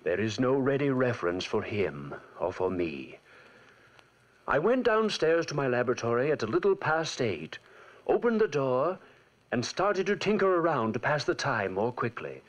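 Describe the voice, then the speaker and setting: A man's voice: mid-range voice, very animated, moderate pace. An actor playing an intense, obsessive young scientist telling his tale in a formal, solemn 1940s radio drama style. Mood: brooding narration. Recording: vintage 1940s radio recording.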